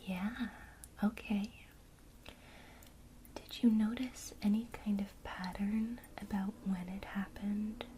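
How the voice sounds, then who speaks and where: A woman whispering softly and breathily in a gentle, encouraging way, as a teacher in an ASMR one-to-one lesson. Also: mid-range voice, fairly even intonation, slow pace, long pauses, a little hiss or hum.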